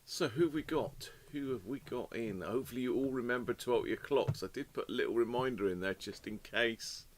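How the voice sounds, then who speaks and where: Chatty man, hoarse with a cold. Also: mid-range voice, fairly quick. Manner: chatty, rambling, greets viewers by name. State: slightly hoarse with a cold and cough. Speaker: host of a live radio repair stream. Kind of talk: casual live-stream chat greeting viewers. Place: home workshop. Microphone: desk microphone.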